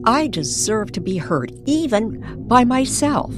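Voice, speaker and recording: A woman playing a gentle, quietly resolute young woman in an old-time style radio drama, speaking in a hushed, earnest way. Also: a little high, very animated, a little slow, pitched above the performer's own voice. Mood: earnest resolve. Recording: music behind the voice.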